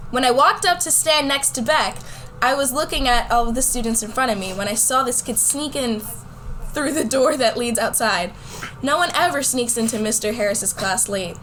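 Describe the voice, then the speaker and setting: A woman plays a competitive high school girl telling her story in a radio play. Her voice sits a little high and her delivery is casual and wry. A faint noise runs behind her. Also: fairly bright tone. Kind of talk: scripted first-person radio drama narration.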